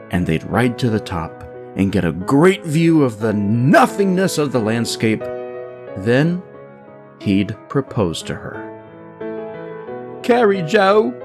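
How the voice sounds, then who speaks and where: Animated male storyteller with music underneath. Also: fairly low pitch. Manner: animated, dramatic. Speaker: narrator and writer of a comedy story podcast. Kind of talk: scripted comic storytelling narration.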